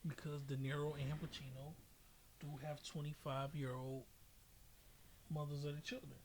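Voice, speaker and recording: Higher-pitched man, emphatic podcast talker. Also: little pitch movement, normal speed, darkish tone, slight background noise. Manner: emphatic, slangy storytelling with repetition. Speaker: podcast host. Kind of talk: casual opinionated podcast chat.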